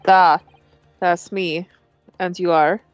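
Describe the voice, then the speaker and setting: Woman putting on a gruff old Russian man. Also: on the low side, flat delivery, slow, highs cut off, soft background music. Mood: curt, suspicious. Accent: put-on Russian. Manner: curt, dismissive, clipped broken English.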